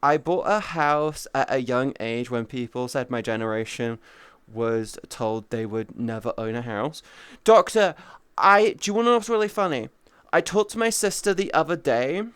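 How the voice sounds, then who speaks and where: A man's voice: mid-range voice, moderate pace, fairly bright tone, clean tone, no room sound. A man in his thirties streaming, rambling in casual chat with his viewers, swearing freely and greeting chatters by name. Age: 30s.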